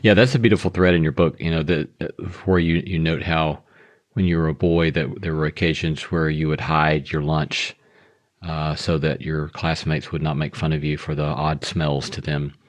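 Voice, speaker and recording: Host: man with an extremely deep voice, affirming, asks questions with some hesitations. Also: fairly even intonation, normal speed. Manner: warm affirming listener, hesitant fillers. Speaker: podcast host. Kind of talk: interview conversation.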